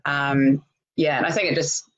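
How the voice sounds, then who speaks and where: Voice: mid-range voice, fairly even intonation, moderate pace, lo-fi sound. A host with a New Zealand accent, chatting casually in a live interview and filling gaps with "um" and "look". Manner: chatty, many fillers like "um" and "look". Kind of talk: casual interview over a live video call.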